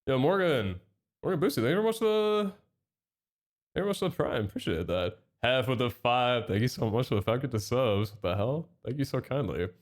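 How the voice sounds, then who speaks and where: A man's voice: mid-range voice, fairly quick. A young man streaming live, chatting with his chat and thanking subscribers, a little nasal from a head cold. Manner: chatty and excitable, reads out chat and thanks subs.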